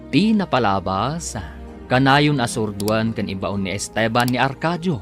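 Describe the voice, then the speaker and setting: A man announces a radio drama serial in a theatrical, scripted style. He, and music plays softly behind his voice. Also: mid-range voice, sing-song, a little slow.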